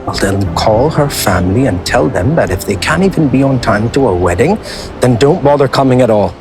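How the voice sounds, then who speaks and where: A man's voice: mid-range voice, lively intonation, fairly quick, music in the background, natural voice. Actor as a curt, impatient businessman groom. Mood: impatient, demanding. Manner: curt, commanding. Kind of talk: dramatic scripted acting.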